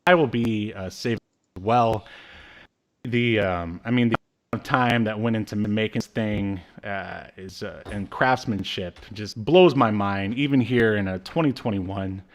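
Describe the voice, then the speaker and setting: Guy in his 30s doing casual podcast chat, kinda jokey and halting, video call from home. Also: fairly low pitch. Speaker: podcast co-host.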